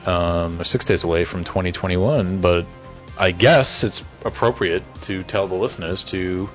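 A man's voice: low-pitched, normal speed, faint music behind. A man co-hosting a podcast, talking casually and earnestly as a fan and acting out bits of imagined dialogue. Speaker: podcast co-host. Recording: slightly dull, lo-fi audio.

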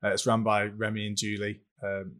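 Podcast host: man, slightly low pitch, informal and chatty interviewer, conversational delivery. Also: completely flat delivery, moderate pace, a crowd in the background.

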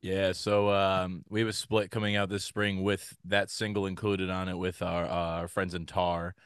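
A man in his late twenties, a musician guest, answering interview questions in a relaxed, slightly hesitant way. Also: sits low, moderate pace, clean tone, no echo, studio quality. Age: late 20s.

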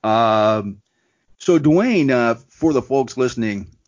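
A man hosting an interview podcast, talking in a relaxed, laid-back way with plenty of fillers. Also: mid-range voice, slow pace, dull audio. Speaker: podcast host.

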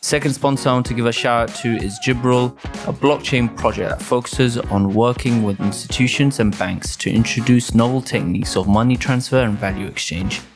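A man's voice: medium pitch, fairly even intonation, a little fast, hardly any pauses, music in the background. A man hosting a podcast, reading a scripted intro and then moderating a live panel before an audience at an expo booth. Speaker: podcast host.

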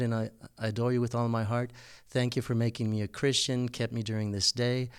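Man, American accent, resonant clear voice, earnest, long explanations, laughs often. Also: medium pitch, darkish tone, no echo, clean studio sound. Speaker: guest. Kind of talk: casual interview chat.

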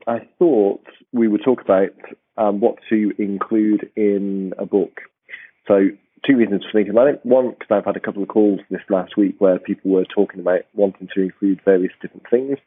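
A man hosting a podcast, with a croaky voice and a rambling, restarting way of talking. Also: lowish voice. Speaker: podcast host. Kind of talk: casual podcast chat. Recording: tinny phone-like line.

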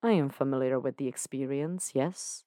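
A woman voicing a suave male devil who has become God, speaking in a dry, unhurried, formal way. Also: very deep voice, steady tone, no echo.

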